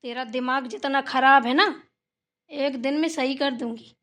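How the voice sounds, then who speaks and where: Woman acting a village mother-in-law, extremely high-pitched, sharp bright tone, short-tempered, nagging, sarcastic, cartoon family drama. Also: fairly even intonation, moderate pace. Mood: threatening. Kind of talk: Hindi family cartoon dialogue.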